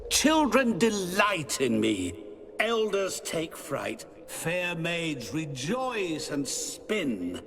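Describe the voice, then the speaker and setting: An actor playing a sinister, playful immortal devil in human guise in a video game, taunting with riddles and savoring every word. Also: male voice, a little high, very animated, very slow, a bit bright, slight background noise, full character voice. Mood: riddling, theatrical. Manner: theatrical, mocking, savoring words. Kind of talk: dramatic video game acting.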